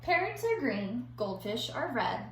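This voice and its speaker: High-voiced woman teacher in an echoey room. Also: sing-song, measured pace, a bit bright. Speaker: elementary school teacher. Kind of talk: children's read-aloud and activity lesson. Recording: echoey room with faint background noise.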